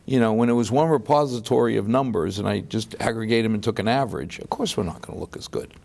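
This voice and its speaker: A man's voice: on the low side, fast talker, creaky, darkish tone. A man whose first language is Portuguese, hosting a casual local talk show and telling stories with quoted asides. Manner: chatty, storytelling with quoted asides. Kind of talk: casual chat.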